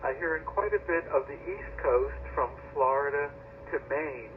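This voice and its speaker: A man's voice: on the high side, normal speed. A man with an American accent speaking casually and matter-of-factly as a ham operator over a radio transmission. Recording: noisy, narrow-band shortwave radio signal.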